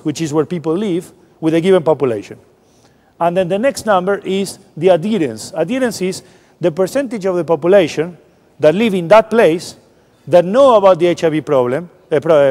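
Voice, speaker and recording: A man's voice: fairly high pitch, a little mellow. A man giving a public science lecture, explaining informally and often restarting his sentences. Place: large lecture hall. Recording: long hall reverb.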